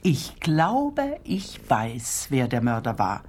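Fussy, swooping, husky eccentric woman. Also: rumbling low, huge pitch swings, slow, darkish tone, exaggerated voice. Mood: pedantic, conspiratorial. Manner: pedantic, dramatic. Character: eccentric, fussy female client with pedantic vocabulary. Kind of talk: radio crime comedy acting.

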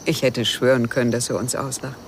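An actress playing a guarded, wistful widow who speaks evasively and intimately in a German radio crime drama. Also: female voice, very deep voice, steady tone, a little fast, slight background noise. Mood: reminiscing, amused.

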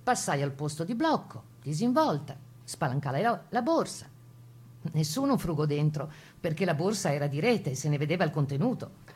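A woman's voice: low-pitched, lively intonation, moderate pace, darkish tone, husky, heavily acted. An actress as a composed woman partisan recalling wartime. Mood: matter-of-fact. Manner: composed first-person storytelling. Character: plucky Italian woman partisan recalling wartime Resistance missions. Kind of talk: dramatized testimony reading for radio.

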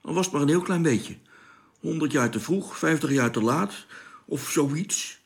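Pensive spaceship radio operator, creaky voice. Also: male voice, on the high side, unhurried. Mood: puzzled, persistent. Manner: hesitant, informal, thinking aloud. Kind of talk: radio play acting.

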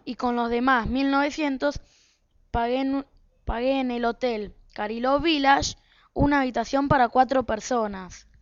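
A woman's voice: high-pitched, steady tone, normal speed, no echo, natural voice. A woman with an Argentine accent playing an easygoing husband and father in an amateur radio play. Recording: dull, dry, lo-fi recording.

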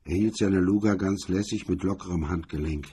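A man with a husky, rough-edged voice reading hardboiled crime fiction as a scripted narration. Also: low voice, normal speed, mellow, a little hiss or hum.

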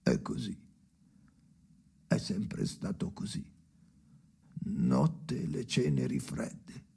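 A man playing a haunted old narrator in a bleak radio drama, speaking in halting, hushed fragments with a gravelly voice. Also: rumbling low, big pitch swings, exaggerated voice. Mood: bleak, whispery. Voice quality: gravelly, creaky. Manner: slow, fragmented, halting delivery with hushed whispery phrases. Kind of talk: dramatic monologue in a stage-style radio play.

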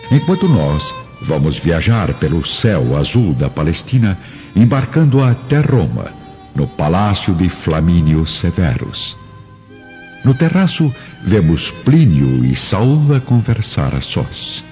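A man with a very deep voice narrates a radio drama. His scripted delivery is dramatic and lively in pitch. The recording is a little muffled, with faint music behind. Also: slow.

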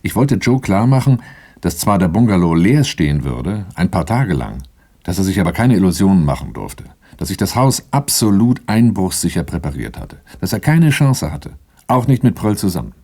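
A wary, brooding first-person narrator of a radio crime play. His voice sits very low and has a soft, dark tone. He tells things in a measured, matter-of-fact way. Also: sing-song, exaggerated voice. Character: wary handyman-driver narrating his story, calm and brooding.

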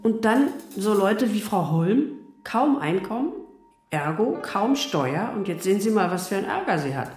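A woman playing a brisk, bossy and chatty middle-aged tax office clerk. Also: mid-range voice, sing-song, a little mellow, faint music behind, close to an everyday voice.

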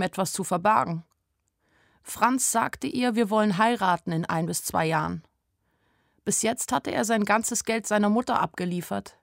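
Radio drama lead, female actor: young shopgirl narrator, reflective, sad, uneasy. Mid pitch, dry studio sound. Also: creaky, no echo, natural voice. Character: young shopgirl narrator in love, anxious and melancholy. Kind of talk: first-person radio play narration.

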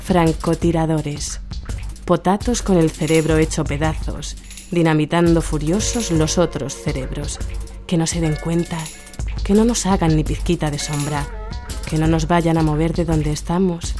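A woman's voice: sits low, steady tone, a little slow, warm tone. A woman from reading a scripted, satirical essay as a radio programme narrator. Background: music.

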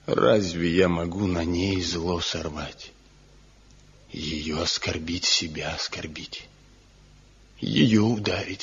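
A man's voice: low voice, fairly bright tone, slightly rough, heavily acted. An actor playing a tormented, brooding master craftsman in despair, with an anguished, bitter, rhetorical delivery in a Soviet radio drama. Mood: heavy, subdued. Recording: dull lo-fi archival 1970 radio recording.

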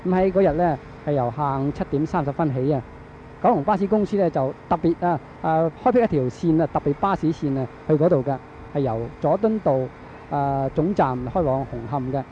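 A man with a Hong Kong accent giving live radio news commentary in a halting way, with frequent drawn-out fillers. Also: a little high. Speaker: radio reporter. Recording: dull, noisy archival radio broadcast.

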